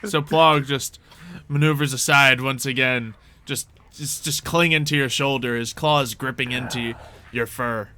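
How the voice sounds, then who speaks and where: A man running a tabletop game, narrating scenes off the cuff in a casual, halting way. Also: medium pitch, fairly even intonation, sharp tone, slight background noise. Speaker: game master.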